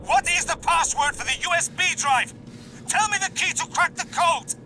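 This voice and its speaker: An actor playing a menacing, impatient villain conducting a forceful interrogation in a spy game. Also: male voice, very high-pitched, moderate pace, slight background noise, exaggerated voice. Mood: angry, demanding. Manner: demanding, forceful. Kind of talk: cinematic spy game acting.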